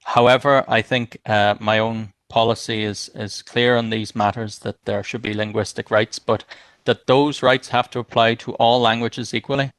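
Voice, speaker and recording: A man's voice: mid-range voice, fairly even intonation, moderate pace, a bit bright, no echo. A man councillor speaking in a council debate, hesitant and thinking aloud. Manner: hesitant, many fillers and restarts, thinking aloud.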